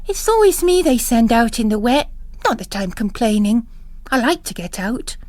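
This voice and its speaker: A woman's voice: fairly high pitch, sing-song, normal speed, darkish tone, no echo. A woman voicing a spry, plain-spoken young nun in a dramatised novel reading, chatty and matter-of-fact. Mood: chatty, eager.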